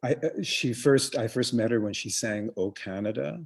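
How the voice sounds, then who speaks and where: A man's voice: medium pitch, normal speed, vocal fry, husky. A man from the Congo who grew up in Uganda, co-hosting a casual live-streamed chat with many fillers and loose, trailing phrases. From Congo, raised in Uganda. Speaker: co-host, museum programmer.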